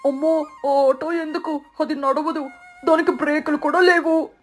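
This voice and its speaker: A woman's voice: sits high, fairly even intonation, moderate pace, faint music behind, voice pushed up, lightly acted. A woman voicing a young crow son in a cartoon story, worried and questioning. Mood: alarmed.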